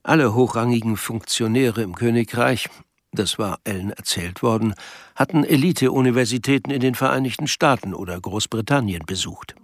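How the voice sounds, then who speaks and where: A man's voice: medium pitch, normal speed, dry recording, clean studio sound. A man reading scripted literary narration as the narrator of a radio drama.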